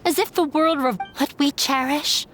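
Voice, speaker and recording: High-voiced, burdened young runaway. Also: female voice, unhurried, soft background music. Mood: resentful. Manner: earnest, emotionally burdened. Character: wounded but determined young runaway daughter. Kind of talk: dramatic video game dialogue.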